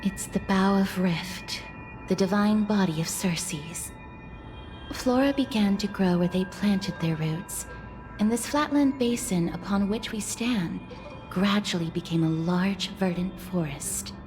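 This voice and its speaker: An actress as a learned young woman in a fantasy game, medium in pitch. She recounts old legends with composed, formal, measured delivery, and music plays clearly behind her. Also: a little mellow, natural voice. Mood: calm, reverent. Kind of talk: game character exposition.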